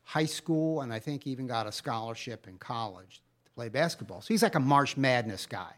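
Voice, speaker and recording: A man's voice: mid-range voice, lively intonation, normal speed, a bit bright. A man co-hosting a podcast, telling stories in a casual, rambling, conversational way. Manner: rambling, chatty storytelling. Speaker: podcast co-host. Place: podcast recorded live before a camera.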